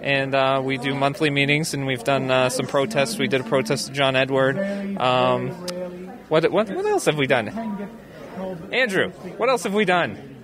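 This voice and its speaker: A man's voice: medium pitch, normal speed. An American man answering interview questions casually, hesitating and thinking aloud in an easygoing way. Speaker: interview guest, skeptics group founder. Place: busy convention venue. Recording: busy crowd chatter behind.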